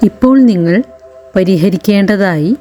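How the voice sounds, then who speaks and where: A woman guiding a meditation in a gentle, soothing way, in short phrases with long pauses. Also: medium pitch, steady tone. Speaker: meditation guide. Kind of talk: guided meditation narration. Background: background music.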